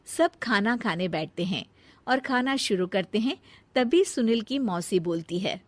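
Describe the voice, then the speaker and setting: A woman's voice: medium pitch, expressive, measured pace, no echo. A woman giving scripted narration for a cartoon family story.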